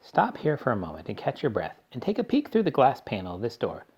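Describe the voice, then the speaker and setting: A man voicing an eccentric male candy-factory owner, brisk, bossy and theatrical. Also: medium pitch, huge pitch swings, a little mellow, breathy edge, highs cut off, full character voice. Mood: explaining. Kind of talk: audiobook character voice.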